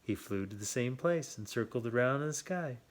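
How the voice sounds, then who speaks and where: A man hosts a children's read-aloud in an expressive, playful way. He leans on words for emphasis and drops chatty asides into the narration. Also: mid-range voice, moderate pace. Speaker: children's story reader and host.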